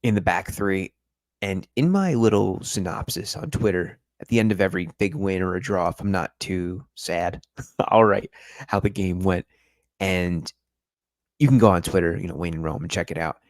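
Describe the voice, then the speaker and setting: Casting: male stream host, very low voice, dry close sound, chatty and analytical. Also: normal speed, no echo. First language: English. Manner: chatty, analytical, addresses viewers directly. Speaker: football podcast host. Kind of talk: live-stream match review.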